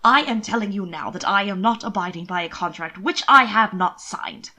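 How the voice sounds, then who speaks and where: A woman's voice: mid-range voice, lively intonation. A woman voicing a middle-aged male earl in a period audiobook, blustering and indignant, with emphatic delivery. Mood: incensed. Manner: loud, emphatic, slow deliberate outrage.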